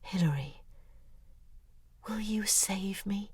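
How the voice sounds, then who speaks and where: A woman's voice: mid-range voice, slow, darkish tone, slight background noise, close to an everyday voice. A woman playing a desperate novelist. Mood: pleading. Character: neurotic, desperate middle-aged woman novelist. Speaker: writer confiding in her ghostwriter. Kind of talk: audio drama acting. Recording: dry studio voice with faint music.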